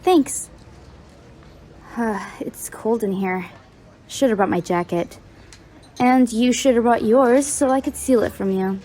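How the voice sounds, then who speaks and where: Woman acting a sardonic college girl, higher-pitched. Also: slow pace, long pauses, dark tone. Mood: dry, playful. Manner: teasing, blunt, dry. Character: sardonic, bossy young female college student. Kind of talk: audio roleplay acting.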